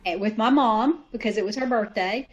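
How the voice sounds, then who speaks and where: A woman's voice: on the high side, moderate pace, lo-fi sound. A woman who belongs to a troupe, chatting casually over a video call. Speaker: troupe member. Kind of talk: casual group chat.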